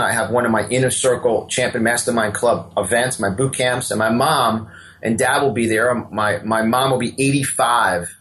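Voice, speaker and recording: A New York-accented man in his fifties speaks with the push of a motivational coach. He gets emphatic, repeats himself and catches on a slight stammer now and then. His pitch sits in an ordinary male middle range. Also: a bit bright, slight background noise, rough recording. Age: 50s. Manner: emphatic, preacher-like, repeats words and stammers a little. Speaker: business coach and guest. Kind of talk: motivational interview talk.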